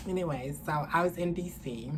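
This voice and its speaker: A young woman with a low voice talks casually to the camera as a vlogger. She tells her story candidly, with slangy, salty language. She is in a small room, and there is faint noise behind her. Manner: candid, animated, profane slangy talk. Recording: clearly noisy room ambience.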